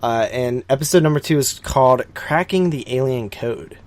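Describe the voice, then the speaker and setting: A man's voice: medium pitch, moderate pace, darkish tone. A man co-hosting a podcast in casual banter, swearing freely, teasing and given to exaggeration. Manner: profane, teasing. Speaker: podcast co-host.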